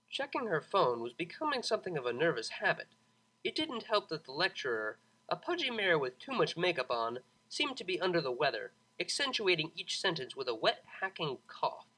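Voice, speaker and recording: Animated, bright-voiced man narrating a story. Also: a little high, poor audio. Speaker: narrator, fan fiction audiobook reader. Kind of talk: expressive scripted story narration.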